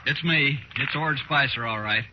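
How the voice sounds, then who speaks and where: A man's voice: mid-range voice, moderate pace, close to an everyday voice. A man playing a blustering, nervous frontier crook in a 1950s radio western, with a folksy, pushy way of talking. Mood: wheedling, indignant. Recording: muffled, noisy old radio recording.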